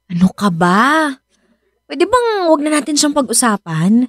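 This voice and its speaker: An anxious, high-pitched Filipino wife. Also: female voice, sing-song, unhurried, dry recording, clean studio sound. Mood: defensive. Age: 30s. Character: anxious, defensive wife in her thirties, rattled by her ex. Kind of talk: Filipino radio drama acting.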